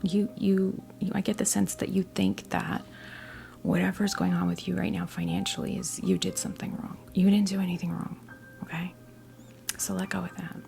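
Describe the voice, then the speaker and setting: Whispered female voice, American, fifties, heavy vocal fry, halting and gentle, ASMR tarot role play, faint music behind. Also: fairly low pitch, little pitch movement. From New Jersey, US. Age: 50s. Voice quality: whispered, breathy soft voice. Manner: slow, halting, gently reassuring. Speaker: ASMR performer playing a tarot reader.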